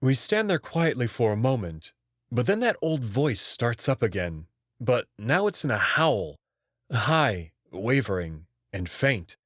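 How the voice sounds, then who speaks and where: A man reading a visual novel aloud in the first person, expressive and prone to sudden outbursts. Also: medium pitch, a little slow, dry recording, studio quality.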